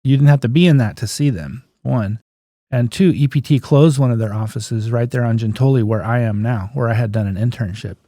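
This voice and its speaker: A man's voice: mid-range voice, fairly quick, mellow, dry recording, studio quality. A man in his fifties telling stories casually as an interview guest, in a folksy, plain-spoken way, often quoting remembered conversations. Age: 50s. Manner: anecdotal, acts out remembered dialogue, folksy plain-spoken phrasing. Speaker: guest, physical therapist and clinic owner.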